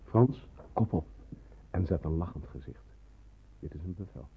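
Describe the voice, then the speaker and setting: An actor playing a brisk, fatherly resistance man who gives kind but firm orders in a radio drama, on an old recording. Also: deep voice, phone-quality sound, slight background noise. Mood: instructing. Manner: brisk, instructing, reassuring.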